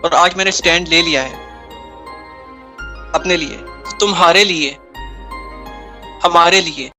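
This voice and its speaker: An earnest young lover in a romantic drama, played by a man. His voice is high and bright, and he pleads in a declamatory way over light background music. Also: slow pace, exaggerated voice.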